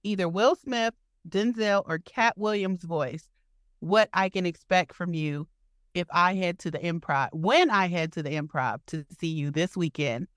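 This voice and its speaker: A woman interviewing a guest in a casual, playful way, quick to react. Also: on the low side, no room sound, clean studio sound.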